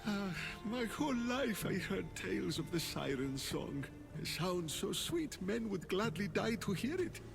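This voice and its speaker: A man's voice: high voice, big pitch swings, a little slow, creaky, faint music behind, heavily acted. An actor playing a weary, frightened captive fisherman with a dreamer's love of poetry, in a video game. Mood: wistful, rueful. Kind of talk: video game character acting.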